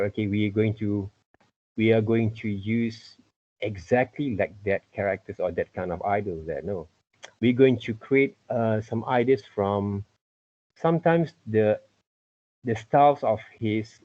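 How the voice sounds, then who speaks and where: A middle-aged man with a husky voice teaching an informal online lesson, repeatedly checking with "okay" and "right". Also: on the low side. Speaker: teacher, art and character design tutor. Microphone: computer mic on a video call. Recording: muffled video-call audio.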